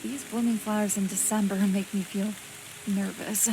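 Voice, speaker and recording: A woman's voice: medium pitch, normal speed, lightly acted. An actress playing an uneasy, remorseful woman in a video game, speaking formally and earnestly. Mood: polite, uneasy. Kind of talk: dramatic video game dialogue. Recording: steady rain noise over the dialogue.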